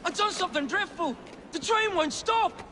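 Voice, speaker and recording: Panicky train engineer in a game, really high yelling voice, loud noise all over it. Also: male voice, expressive, normal speed. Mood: panicked. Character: panicked male train engineer, excitable and frantic. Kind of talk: video game cutscene acting.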